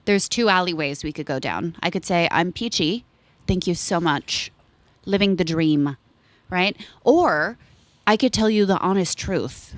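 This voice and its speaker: A woman's voice: on the low side, expressive, unhurried, dry recording. Playful, chatty woman in her thirties. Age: 30s. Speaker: podcast co-host. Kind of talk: casual chat.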